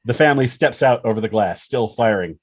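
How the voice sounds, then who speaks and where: Low, husky male screenplay narrator. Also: lively intonation, fairly quick, no room sound. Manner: punchy, present-tense action reading. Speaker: stage-direction narrator. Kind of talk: dramatic scripted narration at a table read.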